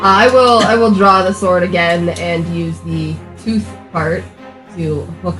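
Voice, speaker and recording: A woman's voice: fairly low pitch, steady tone, slow pace, reverberant, over music, low-quality recording. A woman playing a tabletop game with casual, flippant banter and frequent swearing.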